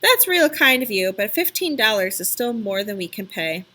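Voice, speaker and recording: A woman's voice: mid-range voice, sing-song, moderate pace, bright, forceful tone. A woman voicing a protective, shrewd male character in a children's storybook, speaking in a plain, polite, canny way. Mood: polite regret. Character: Alex, protective, shrewd male friend bargaining for Louis.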